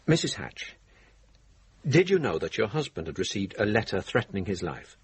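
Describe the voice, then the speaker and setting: Very husky, courteous police inspector. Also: male voice, on the low side, sing-song, normal speed, exaggerated voice. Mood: polite, probing. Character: courteous, measured police inspector, quietly probing. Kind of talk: radio drama acting.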